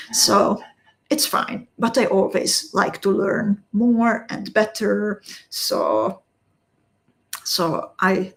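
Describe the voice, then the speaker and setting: Casting note: woman, unhurried craft teacher, friendly live-stream chatter with viewers, steady mid-range voice. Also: medium pitch, gravelly. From Slovakia. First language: Hungarian. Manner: slow, step-by-step instructions, chatting with viewers. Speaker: beading instructor.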